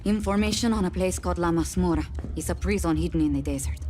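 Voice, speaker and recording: An actress playing an earnest, resolute rebel organiser in a video game, giving brisk, explanatory briefings. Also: female voice, on the low side, darkish tone, slight background noise, close to an everyday voice. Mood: hopeful. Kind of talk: video game character acting.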